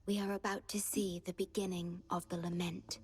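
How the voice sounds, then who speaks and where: A woman playing a calm, solemn young guardian in video game story dialogue, speaking in a measured, soft voice. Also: on the low side, lightly acted. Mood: solemn, gentle. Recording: game audio under background music. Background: game music.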